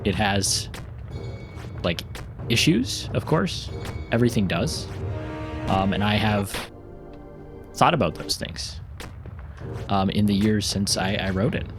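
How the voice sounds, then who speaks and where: A man with a fairly low voice talks his way through a video game, drifting between topics with frequent ums and restarts. His chatter is loose and unscripted, with the odd laugh. Faint music plays underneath. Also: expressive, slow pace, long pauses. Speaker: gaming YouTuber and novelist. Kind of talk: casual commentary while playing.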